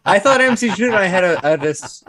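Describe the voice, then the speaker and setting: A man's voice: high-pitched, expressive, a little fast, fairly bright tone, slight background noise. A Canadian man with a strong Canadian accent, chatting and joking casually on a live stream. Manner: chatty, jokey, swears lightly. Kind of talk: casual chat.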